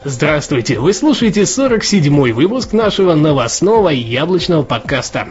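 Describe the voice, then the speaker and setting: A man's voice: on the high side, very animated, normal speed. Chatty man on a lo-fi podcast. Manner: chatty, many fillers and restarts. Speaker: podcast co-host. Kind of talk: casual news podcast chat. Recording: dull lo-fi podcast audio with faint music.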